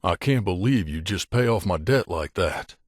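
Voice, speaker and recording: An actor playing a loyal, wry gunslinger companion in a video game, talking casually and familiarly. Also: male voice, sits low, expressive, normal speed, no echo, clean studio sound. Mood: sincere. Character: loyal wasteland gunslinger companion man, wry, devoted to his mother.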